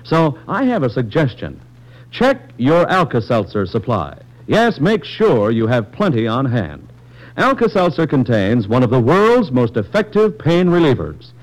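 A man working as a radio announcer, delivering a polished, emphatic 1940s-style commercial pitch. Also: big pitch swings, unhurried, low-quality recording.

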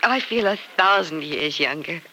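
A woman's voice: deep voice, animated, normal speed, sharp tone, exaggerated voice, poor audio. An actress playing a sweet, good-natured woman with an American accent in a classic radio drama. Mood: relieved, playful. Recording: old radio recording, faint noise.

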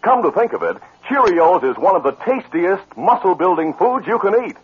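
Dramatic male old-time radio announcer, lively pitch. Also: moderate pace, slightly rough. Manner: dramatic, polished announcer delivery. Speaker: announcer and narrator. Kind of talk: 1950s radio western narration and ad reading. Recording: muffled, noisy old radio broadcast.

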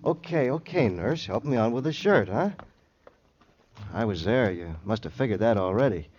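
A man's voice: on the low side, lively intonation, moderate pace, darkish tone, breathy edge. An American actor playing an evasive, streetwise male witness in a vintage radio crime drama, brash, slangy and rambling. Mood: cocky, restless. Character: evasive, cocky, fast-talking wounded male witness, streetwise. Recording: muffled old radio recording.

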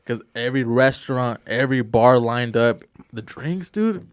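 A man's voice: mid-range voice, expressive, moderate pace, clear, resonant tone, no room sound, clean studio sound. Laid-back, cursing male podcast host. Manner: laid-back, profane, frequent "bro" and "mm-hmm". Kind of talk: casual chat.

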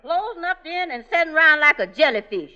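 Brief: high, bright-voiced scolding housekeeper, plain-spoken, dull old radio sound. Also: female voice, animated, fast. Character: bossy, folksy female housekeeper who scolds her boss. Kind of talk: 1940s radio acting.

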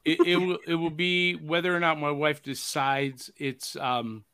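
A man's voice: fairly high pitch, little pitch movement, measured pace, crowd noise. A man hosting a pop culture podcast, upbeat and jokey in casual chat. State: hoarse from overuse. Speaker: podcast host.

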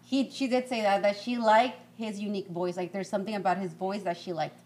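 A woman's voice: medium pitch, steady tone, a little fast, a little mellow. A young woman co-hosting a podcast, chatty and teasing in casual conversation. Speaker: podcast co-host. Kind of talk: casual chat.

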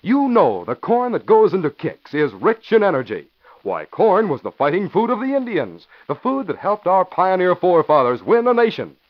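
A man's voice: high-pitched, huge pitch swings, normal speed. A man announcing and narrating a 1940s radio show, emphatic and punchy in delivery. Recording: dull lo-fi old radio recording.

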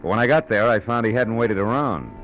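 An actor playing a wry, tough American private detective in hardboiled 1940s radio style, with dry, sardonic delivery. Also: male voice, mid-range voice, animated, a little fast, a bit bright, faint music behind, lightly acted, low-quality recording.

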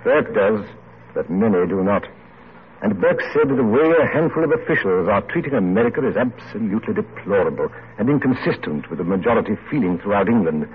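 A man's voice: lowish voice, expressive, brisk, natural voice. An actor playing a genial, reflective older English man of letters, courtly and oratorical, in an old radio play. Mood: grave, reflective. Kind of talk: 1940s radio drama acting. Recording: muffled, noisy vintage radio recording.

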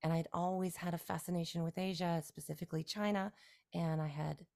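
Woman, forties, from Canada, low voice, gravelly edge, rambling interview talk. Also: steady tone, brisk, darkish tone. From Canada, near Toronto. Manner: reflective, rambling with fillers and restarts. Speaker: musician guest. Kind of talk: casual interview conversation.

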